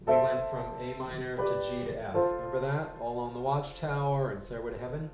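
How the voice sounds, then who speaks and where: A man teaching a piano lesson, counting beats out loud and explaining chord changes. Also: mid-range voice, level tone, brisk. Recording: roomy, distant mic, loud piano. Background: piano playing.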